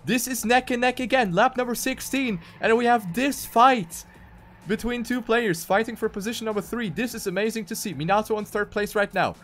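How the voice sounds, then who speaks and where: A man's voice: extremely high pitch, moderate pace, fairly bright tone. A man commentating live on a racing game, calling the action play by play and repeating phrases for emphasis. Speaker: esports race commentator.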